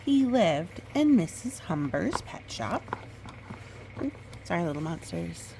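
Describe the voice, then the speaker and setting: A woman reading a picture book aloud in storytime style, with expressive, drawn-out dramatic phrasing and chatty asides. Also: lowish voice, vocal fry, noisy background.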